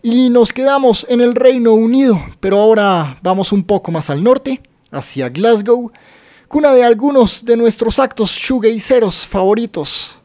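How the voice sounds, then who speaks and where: A man's voice: very high voice, moderate pace, darkish tone. A man presenting a music radio show as its host.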